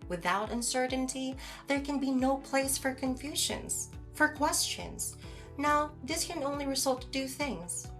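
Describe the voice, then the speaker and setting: A woman acting as a calm, know-it-all philosopher in an audio drama podcast, explaining things to a friend with formal, measured wording. Music plays softly behind the lecture. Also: high voice. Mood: earnest, measured.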